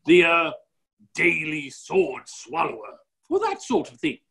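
A man's voice: on the high side, wildly swooping pitch, a little slow, creaky, fairly bright tone, heavily acted. A middle-aged man playing a genial, muddle-headed, blustering duke in a play reading over a video call. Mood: complacent. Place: indoor office, video call. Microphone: computer microphone on a video call.

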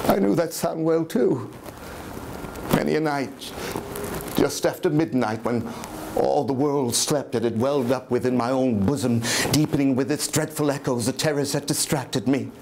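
An older man playing an unhinged murderer who confesses with sly pride, in a halting, suspenseful theatrical reading of gothic horror. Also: mid-range voice, noisy background, natural voice. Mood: tense, brooding. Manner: halting, repetitive, suspenseful, with sudden swells and chuckles. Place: small dim room, live before an audience.

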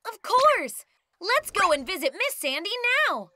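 A woman's voice: extremely high pitch, very animated, measured pace, no echo, clean studio sound, heavily acted. A woman voicing a brave, helpful young boy hero in a children's cartoon, earnest and encouraging as he takes charge. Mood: earnest, reassuring. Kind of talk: children's cartoon dub acting. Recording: loud children's music behind.

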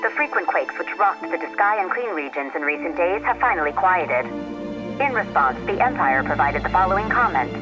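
Music runs clearly under an actress as a female radio newsreader in a game. She reads the bulletin crisply and formally, in a neutral tone. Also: lowish voice, animated, brisk, highs cut off, close to an everyday voice.

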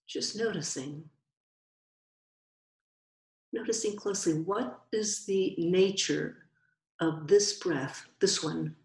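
A woman leads a guided meditation with a low-pitched voice for a woman. She speaks very slowly and gently, leaving long pauses between short phrases. The sound is roomy, with clear echo around her voice. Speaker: meditation teacher.

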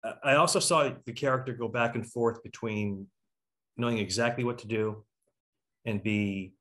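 A man's voice: lowish voice, moderate pace, fairly bright tone. A middle-aged man hosting a casual panel on a video call, musing aloud as he works toward his questions. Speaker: talkback host. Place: indoor room on a video call. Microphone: video call computer microphone.

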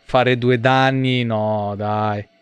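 A man's voice: mid-range voice, steady tone, extremely slow, smooth. A young man giving casual live game commentary, muttering and swearing in exasperation to his viewers. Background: video game music.

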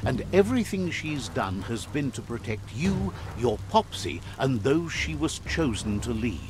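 A man playing a wise, solemn storyteller, narrating a video game fable in a measured, earnest manner. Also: mid-range voice, very animated, noisy background. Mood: grave, tender.

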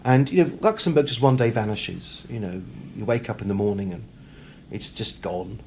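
A man's voice: on the low side, normal speed, darkish tone. Emphatic male author with noisy background. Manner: emphatic, acts out imagined quotes and questions. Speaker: author being interviewed. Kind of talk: animated, reflective conversational interview. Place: hotel. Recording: background room noise.

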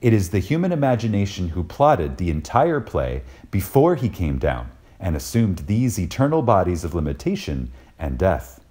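A man reading a scripted lecture dramatically and reverently, with deliberate pauses and emphasis. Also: low voice, very animated, dark tone. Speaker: reader of a recorded lecture.

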